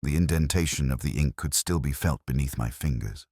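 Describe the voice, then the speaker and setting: A man narrating a scripted first-person detective story in a measured, reflective, literary manner. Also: a bass voice, dark tone, no room sound, clean studio sound.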